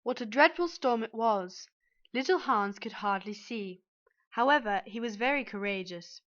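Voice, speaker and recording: A woman's voice: a little high, moderate pace, a bit bright. A woman narrating a scripted children's story as its reader. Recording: dull, band-limited audio.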